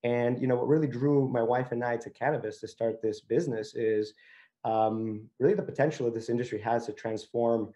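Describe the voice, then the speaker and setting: A man's voice: medium pitch, steady tone, brisk, dark tone. A man from Venezuela speaking as a company founder on a discussion panel, conversational and peppered with verbal hedging. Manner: conversational, frequent filler "you know" and "kind of".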